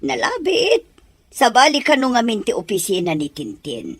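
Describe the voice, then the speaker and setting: A woman playing an older mother, warm and coaxing, who laughs easily while chatting. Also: fairly high pitch, huge pitch swings, moderate pace, breathy edge, dry recording, full character voice. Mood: chatty. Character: aging mother, gentle, eager to see son wed. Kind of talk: audio drama acting.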